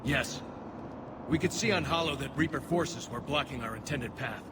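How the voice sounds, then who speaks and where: A man's voice: mid-range voice, normal speed, close to an everyday voice. A man playing a burdened, dutiful young alien military officer in a sci-fi game, speaking earnestly and formally. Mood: defeated, regretful. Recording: loud vehicle and battlefield noise behind.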